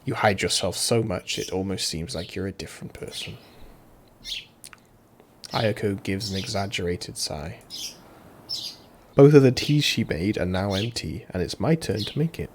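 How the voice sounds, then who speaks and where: Deep-voiced man reading a visual novel aloud. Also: normal speed, pauses often, noisy background. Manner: reads every character himself, mostly flat, bursts into loud laughter. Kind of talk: reading translated game text aloud. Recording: loud game music over the voice.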